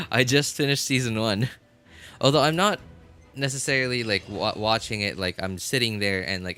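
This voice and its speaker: A man chatting casually as a game livestreamer, rambling and halting as he thinks aloud. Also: fairly low pitch, pushed and bright. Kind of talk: casual chat to viewers while gaming. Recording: music playing behind the voice. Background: background music.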